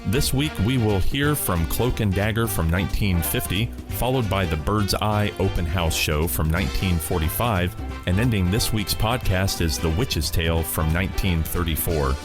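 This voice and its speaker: Deep-voiced male host over music. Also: moderate pace. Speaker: podcast host. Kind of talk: scripted radio show introduction.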